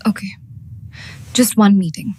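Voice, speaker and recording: A woman's voice: mid-range voice, unhurried, darkish tone, slight background noise, close to an everyday voice. A woman playing a desperate, polite and weary young student in a dramatised story. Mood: exhausted. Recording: music under the voice.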